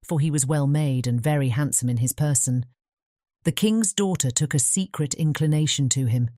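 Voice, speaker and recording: A woman's voice: sits low, normal speed, warm tone, no room sound, studio quality. A woman with a British English accent reading scripted fairy-tale narration.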